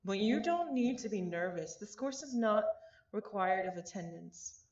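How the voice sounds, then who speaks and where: A woman voicing a polite, reassuring young male teaching assistant in a visual novel, with measured, formal phrasing. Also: mid-range voice, lively intonation, darkish tone, lo-fi sound, close to an everyday voice.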